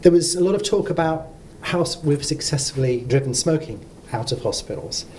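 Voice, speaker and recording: A man's voice: a little high, lively intonation, normal speed, mellow, a little hiss or hum. A man who is a professor and medical college president, laying out his points in numbered order on a panel. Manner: organised, counts points off in order. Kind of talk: panel discussion.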